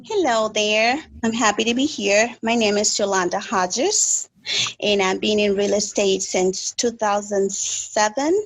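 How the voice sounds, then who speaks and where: A woman's voice: mid-range voice, unhurried, no echo, low-quality recording. A woman from the Dominican Republic, a native Spanish speaker, introducing herself conversationally as an interview guest. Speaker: podcast guest, real estate agent.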